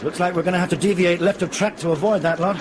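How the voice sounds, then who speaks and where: An actor playing an unflappable, dryly joking British airline captain in a radio drama, brisk, understated and commanding. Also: male voice, high-pitched, fairly even intonation, close to an everyday voice. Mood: reassuring, brisk. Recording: noisy aircraft cockpit sound effects.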